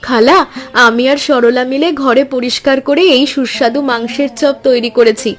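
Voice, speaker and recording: A high-pitched, earnest village housewife, played by a woman in an animated story. She sounds hardworking, hopeful and sisterly, with music playing behind her. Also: normal speed, natural voice. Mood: respectful, hopeful. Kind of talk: scripted animated story dialogue.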